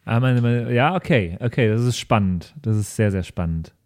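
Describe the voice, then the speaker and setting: A man's voice: fairly low pitch, normal speed, dry recording, clean studio sound. A man chatting casually as a podcast host and role-play player. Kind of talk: casual group discussion of a role-play campaign.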